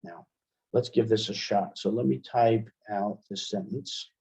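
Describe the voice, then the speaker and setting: A man giving a casual software demonstration as an instructor, conversational and thinking aloud as he goes. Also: lowish voice, fairly even intonation, unhurried, creaky. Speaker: instructor, webinar presenter. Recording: dull, band-limited webinar audio.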